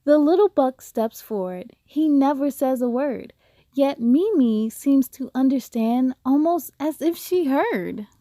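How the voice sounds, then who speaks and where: A woman hosting a children's read-aloud, speaking in an expressive, deliberate, sing-song way to young listeners. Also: high-pitched, no room sound, studio quality. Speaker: host of a read-aloud story series. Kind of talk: expressive children's storybook reading.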